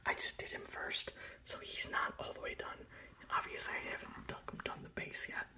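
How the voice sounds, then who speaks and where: A man softly whispering in a casual, rambling ASMR style, breathy and close to the microphone, showing off a hobby. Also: normal speed. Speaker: ASMR video host. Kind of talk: ASMR, informal hobby show-and-tell.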